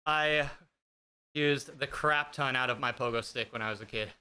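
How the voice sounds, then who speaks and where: A man's voice: mid-range voice, normal speed, bright, forceful tone, clean tone. A man in his thirties chatting casually with his stream viewers while riding an indoor bike, joking and teasing himself. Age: 30s. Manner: joking, self-deprecating, chatty. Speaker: fitness streamer. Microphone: streaming desk mic with noise gate.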